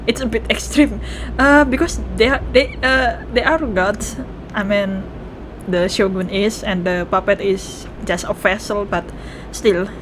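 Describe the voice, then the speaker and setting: A woman with an Indonesian accent reacting casually as she streams a game, excitable and switching between languages. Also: medium pitch, unhurried. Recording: noise behind the voice.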